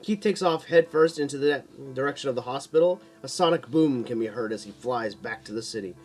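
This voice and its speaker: A man's voice: mid-range voice, a little fast, faint music behind. A man reading his own book aloud in scripted narration, stumbling over and re-reading the odd word. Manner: stumbles and re-reads words.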